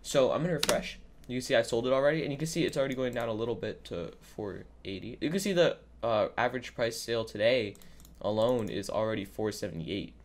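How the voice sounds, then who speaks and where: A man's voice: medium pitch, fast talker, a little hiss or hum. A man who sounds a bit under the weather, giving a casual, improvised screen-capture tutorial and thinking aloud as he goes. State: sick with a cold. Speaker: YouTuber giving a trading tutorial. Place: indoors at a computer, voice-over.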